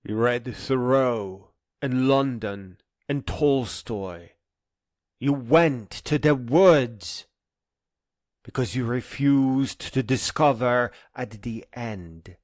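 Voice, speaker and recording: A man delivering a scripted spoken-word monologue in a solemn, deliberate way with poetic phrasing and pauses. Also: medium pitch, a little mellow, dry recording, studio quality.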